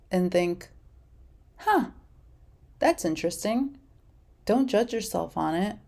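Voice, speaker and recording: A woman's voice: medium pitch, gravelly. A woman hosting her own podcast, talking casually and encouragingly to listeners and reciting affirmations. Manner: gentle, encouraging, speaks in affirmations. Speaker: podcast host. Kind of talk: casual solo podcast talk.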